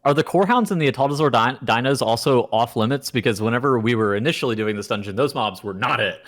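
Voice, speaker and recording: A man's voice: mid-range voice, fast, a bit bright. A man co-hosting a gaming podcast with casual banter and chat. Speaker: podcast co-host.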